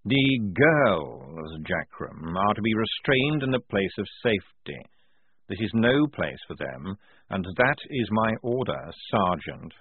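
A man voicing a calm, unflappable senior general who speaks slowly and measuredly. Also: on the low side, slightly rough, no room sound, heavily acted. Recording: dry, tinny phone-like sound.

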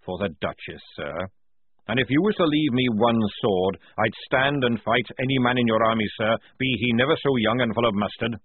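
A man voicing a gruff, loud old army sergeant who barks rough, forceful speech. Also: medium pitch, a little fast, hardly any pauses, no room sound, lightly acted. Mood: roaring, defiant. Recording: dry, tinny phone-like sound.

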